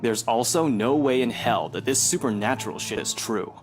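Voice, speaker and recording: A man's voice: medium pitch, normal speed, natural voice. An actor playing a skeptical, mocking young man in a visual novel, blunt and dismissive in his delivery. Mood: scornful. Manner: sarcastic, blunt. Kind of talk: dramatic visual novel voice acting. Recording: music under the dialogue.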